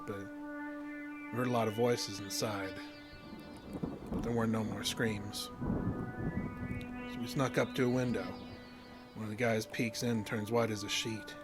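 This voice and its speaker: A man's voice: on the low side, close to an everyday voice. An American man playing a weary, plainspoken fishing guide with a folksy, uneasy manner in a horror audio drama. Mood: grim, recounting. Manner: folksy, ungrammatical storytelling.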